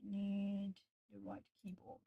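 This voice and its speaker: Woman, southern Brazilian Portuguese accent, native Portuguese speaker, casual art-stream chat, reads comments aloud, thoughtful pauses, dry close sound. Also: medium pitch, completely flat delivery, vocal fry, dry recording, narrow, tinny audio. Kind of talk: casual chat while colouring.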